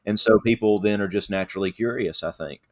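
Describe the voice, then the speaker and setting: A man co-hosts a podcast discussion, giving his view in an earnest, conversational way. His voice sits fairly low. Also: fast talker. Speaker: podcast co-host.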